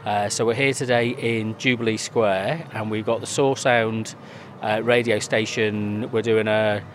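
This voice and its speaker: A man's voice: fairly low pitch, steady tone, measured pace, a little hiss or hum. A man talks about community media at an outdoor event. He is chatty and hesitant, with many fillers and restarts. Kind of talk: casual interview answers.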